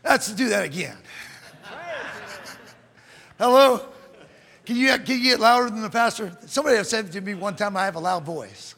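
A middle-aged man preaching a sermon in a church, reading scripture and rising into fervent shouts. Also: high-pitched, moderate pace, creaky, pauses often. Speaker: pastor. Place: church sanctuary. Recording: long church hall reverb.

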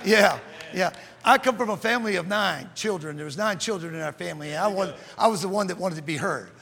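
High-voiced fervent preacher man, gravelly edge. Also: fast talker. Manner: fervent preaching, rising to shouts, reads scripture aloud. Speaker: pastor. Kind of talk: sermon. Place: church sanctuary. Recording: long church hall reverb.

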